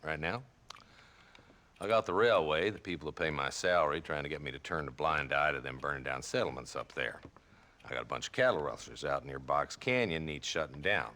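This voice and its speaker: An actor playing a weary, sardonic old frontier marshal in a Western video game, with an American Southern accent and a dry, folksy, grumbling manner. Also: male voice, a bass voice, a little fast, sharp tone, full character voice. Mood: weary sarcasm.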